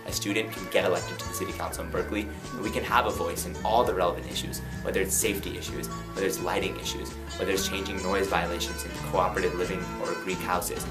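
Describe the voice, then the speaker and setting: A young man in his twenties from Pakistan, a student candidate giving an earnest campaign pitch and then answering light interview questions. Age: 20s. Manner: earnest, rapid and persuasive.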